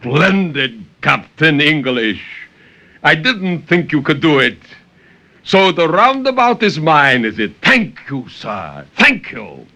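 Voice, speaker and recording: A male actor as a defiant, hulking sea outcast from a 1950s radio play. His voice is bright and forceful, rather high, with mocking courtesy stretched out theatrically. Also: very animated, takes it slowly, exaggerated voice. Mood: mocking delight. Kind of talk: 1950s radio drama acting. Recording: dull, noisy 1950s radio recording.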